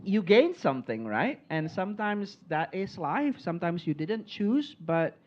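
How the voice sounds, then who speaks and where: A man's voice: high-pitched, sing-song, measured pace, darkish tone. A man acts as emcee at a club meeting. He thanks the speakers with stretched-out praise and asks friendly questions. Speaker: evening's Toastmaster, emcee. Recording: dull recording with faint noise.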